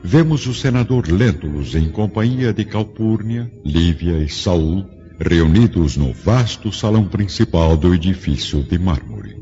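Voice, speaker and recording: A man's voice: low-pitched, expressive, unhurried, dark tone. A man narrating a radio drama as a grave scene-setting storyteller, reading from a script. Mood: grave, dramatic. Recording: muffled, long reverb, music bed.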